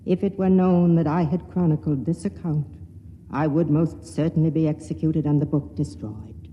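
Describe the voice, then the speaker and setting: An actor voicing a grave male chronicler who reads his forbidden account in a solemn, measured way in a 1950s radio drama. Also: on the high side, little pitch movement, dull audio, a little hiss or hum, close to an everyday voice. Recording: reverberant vintage radio recording.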